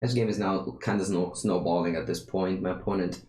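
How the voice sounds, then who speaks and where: A man's voice: deep voice, steady tone, brisk, darkish tone, reverberant, a little hiss or hum. A young man from Italy with an Italian accent, casually commenting on his own card game as he plays, thinking aloud and often restarting his sentences. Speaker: streamer playing a card game.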